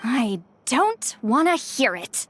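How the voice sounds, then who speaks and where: High-voiced, grumpy, flustered young woman. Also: big pitch swings, slow, over music, heavily acted. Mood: embarrassed, defensive. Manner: exasperated, stammering, dramatic. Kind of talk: video game voice acting.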